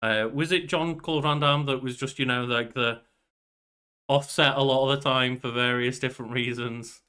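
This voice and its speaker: A man's voice: medium pitch, steady tone, normal speed, sharp tone, clean tone. A man in his thirties co-hosting a podcast, chatting casually and feeling for his words as he goes. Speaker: podcast co-host. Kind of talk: casual chat. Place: indoors at a desk, on a video call.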